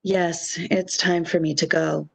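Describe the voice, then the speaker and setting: A woman playing a calm, reassuring phantom lover, speaking soothingly and measuredly in an amateur radio drama. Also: on the low side, gravelly, breathy edge, exaggerated voice. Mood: tender, assured. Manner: soothing, measured, brief answers.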